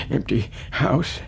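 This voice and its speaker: An actor playing a lonely old man stranded on Mars in a radio drama, agitated and stammering, his voice cracked and quavering. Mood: stunned, brooding. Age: older. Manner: agitated, stammering, muttering to himself.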